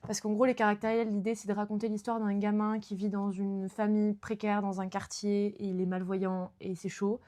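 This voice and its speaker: A woman's voice: medium pitch, flat delivery, a little fast, few pauses, warm tone. Young woman streamer, casual book chat, rambling with fillers. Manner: chatty, lots of fillers and restarts. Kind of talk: casual chat about books.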